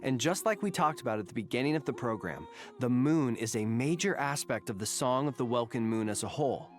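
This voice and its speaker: A man's voice: medium pitch, lively intonation, brisk. A man co-hosting a game program, delivering a scripted, upbeat recap. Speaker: co-host of game special program. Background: faint music.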